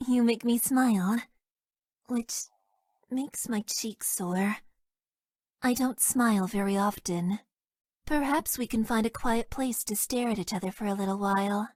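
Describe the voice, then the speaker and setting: A woman voicing a detached, self-deprecating young female mecha pilot in a video game, with deadpan, stilted and hesitant delivery. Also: on the high side, unhurried, no echo. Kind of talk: video game character voice lines.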